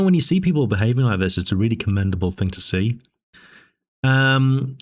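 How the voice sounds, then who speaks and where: A man hosting his own political commentary channel, talking through his points in a measured, discursive way with frequent fillers and restarts, his voice a little dry. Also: deep voice. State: dry voice, sipping tea. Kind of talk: casual spoken commentary.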